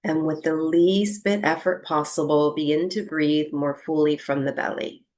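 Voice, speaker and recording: A woman teaching breathwork, giving gentle, unhurried guided relaxation instructions with long pauses between phrases. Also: low-pitched, steady tone.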